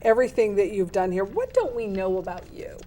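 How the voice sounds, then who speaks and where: A woman's voice: medium pitch, big pitch swings, fairly quick, dark tone, slight background noise. A woman hosting a community television talk show, prompting her guest with questions and short affirmations. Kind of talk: community TV interview.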